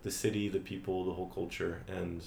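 A young man from France talks through his answers in an easy, unhurried way, pausing on ums and uhs. His voice sits very low with a gravelly fry. The room is echoey, with a faint hum of background noise. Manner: relaxed, with frequent ums and uhs. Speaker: interview guest, teacher. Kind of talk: casual interview answers.

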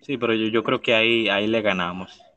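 A man's voice: lowish voice, steady tone, a little fast, dry recording, lo-fi sound. A man with a Dominican accent hosting a casual podcast chat, playful and teasing. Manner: playful, teasing, laughs loudly. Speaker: podcast host.

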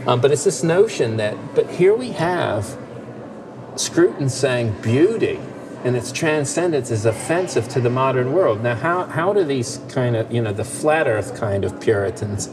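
A man's voice: mid-range voice, animated, measured pace, background noise. A man co-hosting a casual talk show, speaking thoughtfully with many fillers and restarts. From part Finnish heritage. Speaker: podcast co-host. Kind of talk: casual discussion.

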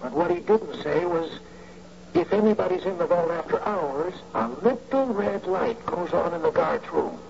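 An actor playing a sly, folksy old bank guard who can be bought, in an old radio drama. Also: male voice, high-pitched, big pitch swings, moderate pace, vocal fry, heavily acted. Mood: smug, menacing. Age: older. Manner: folksy, ungrammatical, drawling authority. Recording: muffled, hissy old radio recording.

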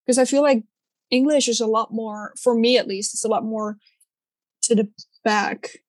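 A young woman speaks English in loose chat, pausing and reaching for words with plenty of fillers. Her voice is a bit high. The sound is dry and close. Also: fairly even intonation, a little mellow, no echo. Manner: searching for words, hesitant with many fillers. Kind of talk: casual chat.

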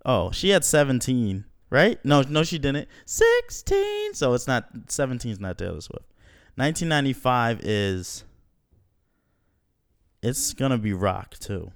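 A man's voice: medium pitch, huge pitch swings, measured pace, clear, resonant tone, dry recording, clean studio sound. A young man hosting a casual podcast at home, slangy and laid-back, swearing often. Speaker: podcast host. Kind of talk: casual profane podcast chat.

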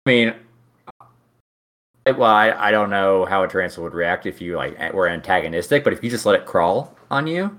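A man's voice: low voice, lively intonation, a little fast, a bit bright. A man in his thirties chatting casually as a co-host, rambling and restarting his sentences with a joking tone. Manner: rambling, self-interrupting, joking. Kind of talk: casual chat.

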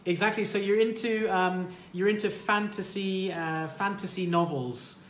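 A man's voice: high-pitched, normal speed. A man hosting a casual call-in chat, upbeat and encouraging, often repeating his phrases. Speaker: host. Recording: echoey room, faint noise.

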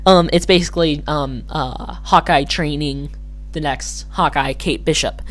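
A podcast host with a slightly hoarse voice, talking casually and alone in a rambling, hesitant way that keeps correcting itself. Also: medium pitch, a little slow, a bit bright. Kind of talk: casual solo commentary.

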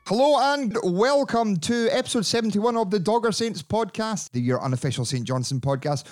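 A man's voice: high voice, animated, a little fast, faint music behind. A man hosting a podcast in a casual, joking way. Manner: chatty, jokey, reads sponsor plugs. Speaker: podcast host.

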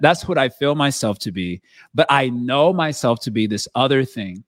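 A man reflects on a personal question as a devotee, searching for words and restarting his sentences. His pitch is moderate, and the sound is dry and close. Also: no echo. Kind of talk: reflective discussion.

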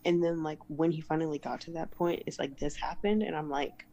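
A woman's voice: low voice, fairly even intonation, a little fast, mellow. A young woman co-hosting a podcast, chatting casually in a slangy, conversational way. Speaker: podcast co-host.

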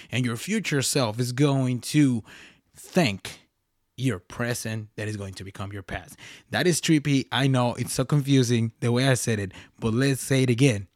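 A young man talks casually on his own podcast, rambling, restarting sentences and dropping slang. His voice sits at an ordinary middle pitch. The sound is dry and close, with no room echo. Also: a little mellow. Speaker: podcast host. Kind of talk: casual solo podcast talk.

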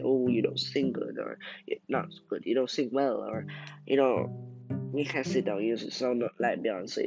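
A young man with a soft, deep voice talking casually and encouragingly on his podcast as an aspiring singer, with loose run-on thoughts and many fillers. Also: vocal fry. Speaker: podcast host. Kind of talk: casual solo podcast talk. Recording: dull lo-fi sound over loud guitar music.

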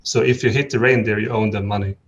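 A man from Sweden, a podcast guest in casual chat, telling stories in a relaxed way with many fillers. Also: fairly low pitch, fast talker, lo-fi sound, crowd noise.

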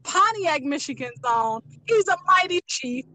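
A woman with a high-pitched voice hosts an interview in a conversational style. The recording is dry and free of room echo. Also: very animated, measured pace, sharp tone. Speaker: podcast host.

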